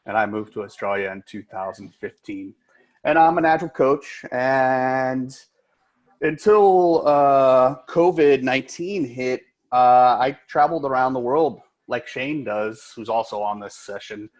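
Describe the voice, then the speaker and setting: An American man speaks over a video call in an easygoing, rambling way. He leans on fillers as he introduces himself and his topic. His voice sits in an ordinary middle pitch. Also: measured pace. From United States. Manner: relaxed, chatty, many "uh" fillers. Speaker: agile coach, co-presenter. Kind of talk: casual presentation on a video call.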